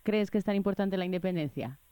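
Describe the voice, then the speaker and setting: A woman's voice: medium pitch, quick pace, dark tone, clean tone, no room sound. A woman interviewer asking plain, direct questions.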